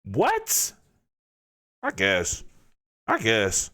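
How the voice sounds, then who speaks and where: A man's voice: on the low side, wildly swooping pitch, drawn-out words, sharp tone. A man hosting a reaction video, ranting in disbelief and firing off rhetorical questions. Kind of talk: casual reaction commentary.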